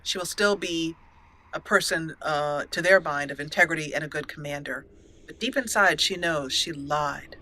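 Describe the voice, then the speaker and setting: Bright-voiced woman narrating a game roleplay. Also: low voice, a little hiss or hum. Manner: measured storytelling, with an occasional chuckle. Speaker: let's play host.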